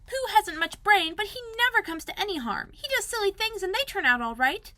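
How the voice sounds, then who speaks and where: Squeaky, anxious little piglet. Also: female voice, lively intonation, a little fast, pushed and bright, full character voice. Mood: anxious musing. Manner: squeaky, plaintive, fretful. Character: very small anxious piglet, timid and wistful.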